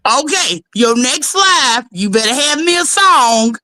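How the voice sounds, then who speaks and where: A woman's voice: high voice, animated, sharp tone, no room sound, studio quality. Playful woman teasing on a call. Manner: teasing, playful, drawn-out words. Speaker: guest caller on a livestream. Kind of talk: casual chat.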